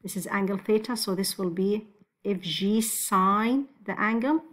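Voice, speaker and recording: A woman teaching physics, talking step by step through exam problems over a screen recording, with frequent restarts. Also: medium pitch. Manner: slow, step-by-step explaining with restarts.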